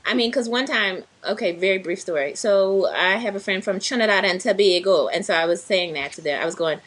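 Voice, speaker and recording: A woman's voice: medium pitch, a little fast, a bit bright. A woman co-hosting a podcast in playful casual chat, fond of breaking into song and silly voices. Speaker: podcast co-host.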